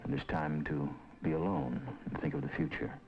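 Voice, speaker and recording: A man with a very low, deep voice reads documentary narration in a measured, solemn way. The sound is a muffled, lo-fi 1950s film track. A prominent orchestral score plays beneath him. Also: steady tone, gravelly, soft background music. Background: film score under the voice.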